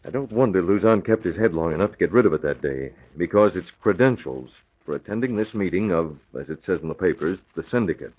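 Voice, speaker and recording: An actor playing an earnest, decisive crimefighting hero with a husky voice in a 1940s radio adventure. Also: male voice, deep voice, expressive, quick pace, few pauses. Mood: excited, explaining. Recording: muffled vintage radio recording.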